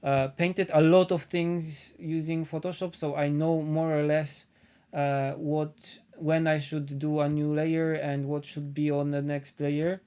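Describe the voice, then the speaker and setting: A man from Poland with a Polish accent, chatting casually on a live stream as he paints, thinking aloud with frequent pauses. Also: on the high side, level tone, warm tone, smooth.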